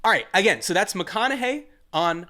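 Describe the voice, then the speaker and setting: A man in his forties hosting a podcast with casual, joking, animated chat. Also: sits high, normal speed. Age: 40s. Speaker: podcast host.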